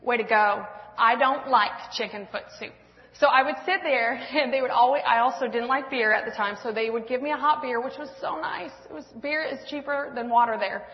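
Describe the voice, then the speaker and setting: A woman's voice: a little high, a little fast, dull audio. Self-deprecating woman in a reverberant hall. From Washington State, US West Coast. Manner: self-deprecating, laughing often, rambling with fillers. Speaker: speaker at a church women's event. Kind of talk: personal testimony talk with slides.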